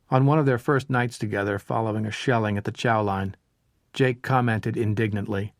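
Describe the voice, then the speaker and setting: A man reading a history audiobook as narrator, in a measured, even storytelling manner. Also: on the low side, no room sound, studio quality. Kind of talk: scripted narration of a history book.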